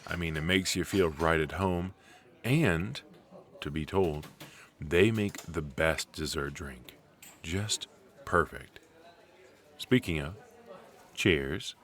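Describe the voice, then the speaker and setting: Deep-voiced, rambling, flirty coffee date played by a man. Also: exaggerated voice. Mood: friendly, charmed.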